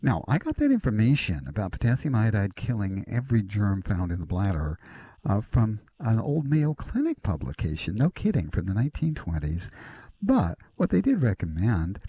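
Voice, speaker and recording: Gravelly, animated male radio health advisor. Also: fairly low pitch, moderate pace, no room sound, dull audio. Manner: earnest, explanatory, polite. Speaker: natural medicine doctor answering callers. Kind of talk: radio call-in advice.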